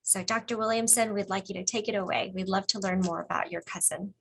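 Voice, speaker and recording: A woman's voice: medium pitch, steady tone, fast, fairly bright tone. A woman librarian introducing a guest at a children's event in a friendly hosting style. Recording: clearly noisy audio with clicks.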